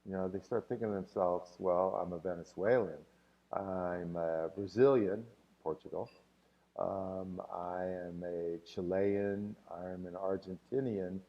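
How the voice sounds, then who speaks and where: A man with a very low voice talks through a history lesson on a live stream, answering questions in a loose, rambling way. He leans on filler words as he goes. He sits back from the mic, and the sound comes through muffled. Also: steady tone, measured pace, far from the mic. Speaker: history teacher.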